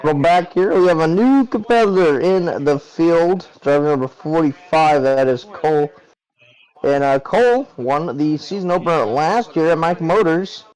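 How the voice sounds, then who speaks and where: Smooth-voiced male race announcer. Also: on the high side, normal speed, darkish tone, poor audio. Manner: chatty, conversational race calling. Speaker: play-by-play commentator. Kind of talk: sim racing broadcast commentary.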